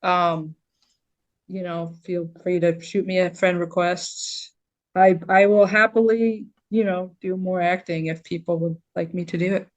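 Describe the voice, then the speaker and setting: A woman's voice: on the low side, fairly even intonation, unhurried, highs cut off. A woman voice actor chatting casually as a podcast guest in a playful, informal way. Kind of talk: casual chat.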